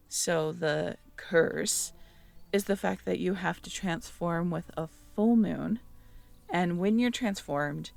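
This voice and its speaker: A woman's voice: on the low side, gravelly. A woman in an intimate audio roleplay, musing aloud as she reads through notes and checks back with the listener.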